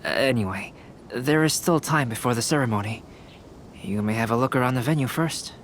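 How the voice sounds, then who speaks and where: A man's voice: mid-range voice, brisk, a little hiss or hum, natural voice. Composed young artist voiced by a man. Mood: composed, satisfied. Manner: polite, even-tempered, precise. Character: composed, courteous, intellectual young male artist. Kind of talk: scripted video game dialogue. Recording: faint music under the voice.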